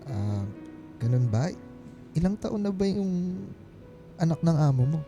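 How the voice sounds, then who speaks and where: Troubled Filipino husband, higher-pitched. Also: male voice, big pitch swings, a little slow. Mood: uneasy, subdued. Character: troubled, hard-up mechanic husband, hurt but polite. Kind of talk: Filipino radio drama acting. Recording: music bed behind voice.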